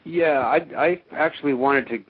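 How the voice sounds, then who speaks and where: A man's voice: medium pitch, moderate pace. Male caller on a thin phone line. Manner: hesitant, reasoning step by step. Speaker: caller to an interview show. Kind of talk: conversational, earnest question to a guest. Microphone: telephone.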